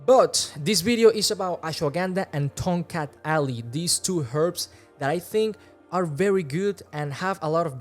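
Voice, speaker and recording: Young Venezuelan man, Spanish native, fairly high voice, relaxed earnest vlog chat on a close studio mic. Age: 20s. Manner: relaxed, earnest, gestures with hands while talking. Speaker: fitness vlogger. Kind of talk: casual talk to camera. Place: small room indoors. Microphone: large studio mic close in front.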